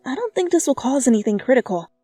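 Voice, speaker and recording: Woman as caring young student, high voice. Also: sing-song, quick pace, dark tone, no echo, faint music behind. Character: caring, easily flustered young student, the listener's character. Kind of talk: dramatic fanfiction reading.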